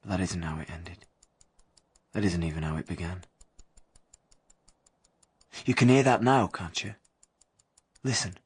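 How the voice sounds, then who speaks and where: An actor playing a brash young working-class husband in a radio drama, blunt and colloquial. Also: male voice, sits low, expressive, unhurried, long pauses, slightly rough, heavily acted. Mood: brooding narration. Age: young adult. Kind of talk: radio drama acting and first-person narration.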